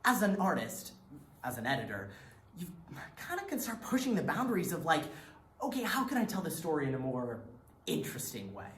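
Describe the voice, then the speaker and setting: Woman acting a candid, animated, low-voiced TV editor. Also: fairly quick. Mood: frank, conspiratorial. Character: candid, self-justifying reality TV editor. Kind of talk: readers theatre performance. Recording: echoey room, some background noise.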